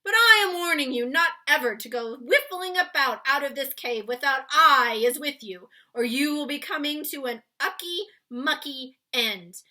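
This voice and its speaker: A woman voicing a big friendly male giant, excitable and blustering, with garbled folksy grammar, in a children's storybook reading. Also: high voice, moderate pace, few pauses, pitched above the performer's own voice, heavily acted. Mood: firm, warning. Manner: garbled grammar, invented words, emphatic. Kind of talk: children's storybook read-aloud.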